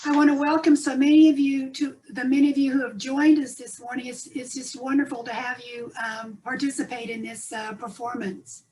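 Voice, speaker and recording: A woman's voice: high voice, little pitch movement, fairly quick, hardly any pauses, warm tone, rough recording. A woman host giving a welcome speech to introduce a performance. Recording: noticeable background noise.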